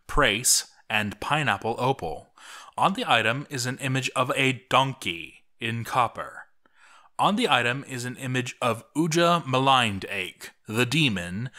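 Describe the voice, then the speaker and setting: A man reading a written chronicle aloud as a narrator, with deliberate, theatrical emphasis on names. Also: medium pitch, sharp tone. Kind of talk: scripted narration, dramatic read-aloud.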